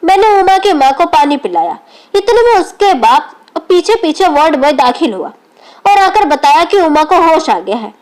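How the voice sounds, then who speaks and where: A woman's voice: high-pitched, very animated, normal speed, a little mellow. A woman reads a scripted, emotional moral story as its narrator.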